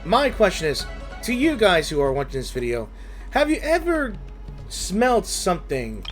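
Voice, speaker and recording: A man giving casual gaming commentary, musing to viewers in a halting, conversational way. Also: high-pitched, huge pitch swings, soft background music. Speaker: gaming streamer.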